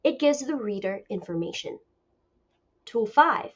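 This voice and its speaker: A woman teacher reading a picture book aloud as a lesson, with deliberate, expressive storytelling. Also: a little high, slightly rough. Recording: dull lo-fi recording.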